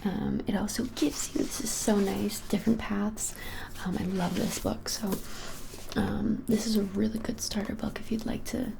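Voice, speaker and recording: A woman in her twenties whispering an ASMR roleplay as a shop clerk, gently and hesitantly, with many pauses. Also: mid-range voice, fairly even intonation. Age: 20s. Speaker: ASMR creator playing a witch shop clerk. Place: plain small indoor room. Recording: clearly hissy background noise.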